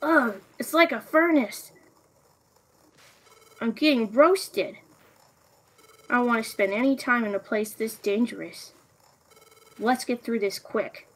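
A woman voicing a small, earnest, excitable young water creature in an expressive way for a game story. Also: fairly high pitch, slow, pauses often, rough recording. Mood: uncomfortable. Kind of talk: dramatic fan voice acting for a game story.

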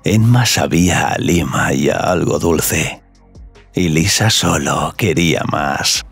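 A man's voice: extremely low pitch, takes it slowly, creaky, husky, soft background music. A man narrating a scripted audio story.

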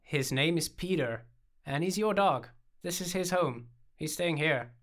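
A man voicing an excitable, childlike young man, a playful friend who speaks with theatrical fervor in an audiobook. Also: a little high, measured pace, pitched above the performer's own voice. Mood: insistent. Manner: fervent, theatrical, exclaiming. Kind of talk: audiobook character dialogue.

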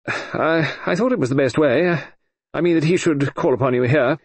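A man's voice: moderate pace, slightly rough, dry recording. A man voicing a fussy, flustered government official in an audiobook, who stammers and hesitates over his words. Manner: hesitant, stammering, higher-pitched. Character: fussy, flustered middle-aged male official. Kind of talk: audiobook character voice.

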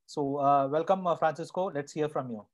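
A man in his thirties hosts an interview from home over a video call, talking through an earphone mic. His voice sits somewhat high, and his questions come out halting, broken up by constant "uh"s. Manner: hesitant, many "uh" fillers. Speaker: host. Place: home room indoors.

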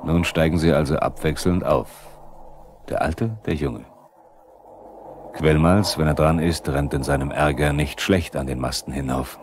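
An actor playing a sober, probing narrator who addresses the characters in a 1970s radio drama. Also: male voice, a bass voice, fairly even intonation, unhurried. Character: probing male narrator, the characters' inner questioner. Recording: noisy 1970s vinyl radio play.

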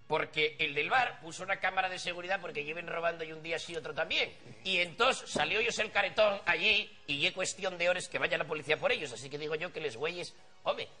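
A comedian playing a brash, loud middle-aged rural villager with an Asturian accent in a TV studio sketch. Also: male voice, a little high. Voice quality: loud, rustic, swearing. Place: TV studio set.

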